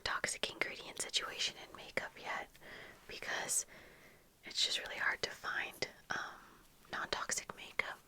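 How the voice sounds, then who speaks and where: Slow, bright whispering young woman. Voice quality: whispered, breathy. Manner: unhurried, rambling casual delivery. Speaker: ASMR video creator. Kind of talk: whispered ASMR get-ready-with-me chat. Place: small indoor room with bookshelves. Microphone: close fluffy-covered ASMR microphone, earphones worn.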